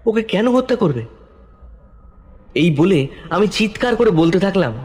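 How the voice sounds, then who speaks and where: A man's voice: sits high, expressive, unhurried, a little hiss or hum. A man narrating a scripted first-person horror story in a dramatic style. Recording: loud music under voice.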